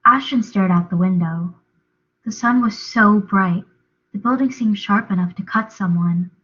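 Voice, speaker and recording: A woman's voice: medium pitch, a little slow, fairly bright tone. A young adult woman giving a dramatic reading of a novel as narrator. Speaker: reader, drama student. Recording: dull lo-fi recording.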